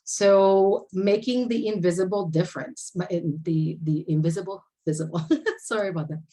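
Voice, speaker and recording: A woman's voice: on the low side, fairly even intonation, moderate pace, warm tone. A woman from Puerto Rico, whose first language is Spanish, gives a personal presentation over a video call, with frequent restarts as she tells her story. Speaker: conference presenter. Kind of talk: remote presentation.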